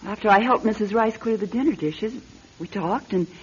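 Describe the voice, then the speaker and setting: An actress playing a worried, defensive wife in an old radio mystery, answering with hesitation. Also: female voice, medium pitch, moderate pace, slightly rough. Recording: dull old radio recording.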